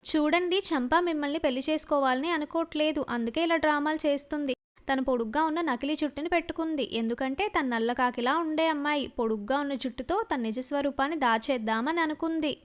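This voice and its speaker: A woman's voice: high-pitched, fast talker, close to an everyday voice. A woman voicing a jealous, spiteful young woman in a cartoon story. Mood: scheming.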